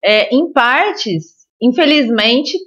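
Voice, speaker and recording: Female podcast guest, Brazilian accent, fairly high voice, informal and talkative, slightly muffled recording. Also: animated, slow pace, fairly bright tone. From Ribeirão, São Paulo state, Brazil. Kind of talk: casual interview chat.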